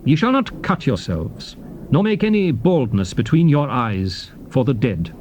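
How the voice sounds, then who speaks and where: A man narrating a dramatized Bible reading in a solemn, stately, emphatic manner, declaiming in short measured phrases. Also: mid-range voice, big pitch swings, darkish tone, dull audio. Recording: hissy old cassette tape.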